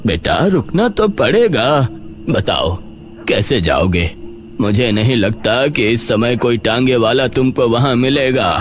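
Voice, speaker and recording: A man voicing a calm, resigned older village uncle in a horror story. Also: medium pitch, measured pace, background noise. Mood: firm, resigned.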